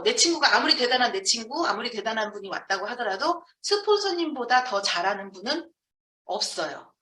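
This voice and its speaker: Echoey, high-pitched woman lecturing emphatically. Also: bright, forceful tone. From Jeonju, South Korea. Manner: emphatic, asks rhetorical questions and answers them. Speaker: business training lecturer. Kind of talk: motivational lecture to an audience. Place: lecture room with an audience. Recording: echoey room, distant microphone.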